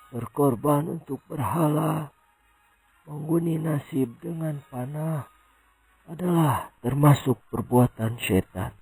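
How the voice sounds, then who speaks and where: Guy doing slow preachy cartoon cleric, husky and raspy, talks like a sermon. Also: mid-range voice, warm tone, dry recording, heavily acted, low-quality recording. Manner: solemn, declamatory. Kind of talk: sermon-like address in comic cartoon.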